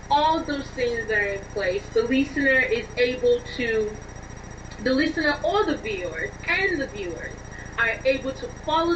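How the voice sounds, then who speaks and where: A woman being interviewed, explaining things in an expressive, emphatic conversational way over a distant, dull and noisy connection. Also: fairly high pitch. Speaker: interview guest, scriptwriter.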